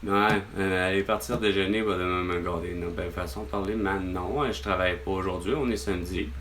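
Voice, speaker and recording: A man's voice: low-pitched, steady tone, brisk, fairly bright tone. A man with a Québécois accent, venting to his mother on a phone call, rambling and exasperated, swearing often. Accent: Québécois French. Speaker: vlogger.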